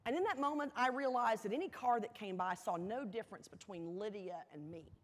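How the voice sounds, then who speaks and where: A woman gives a motivational storytelling speech to an audience, earnest and emphatic, with dramatic pauses and repeated phrases. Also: medium pitch. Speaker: motivational speaker at a university welcome event. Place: large indoor hall, addressing an audience. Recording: echoey large hall with long reverb.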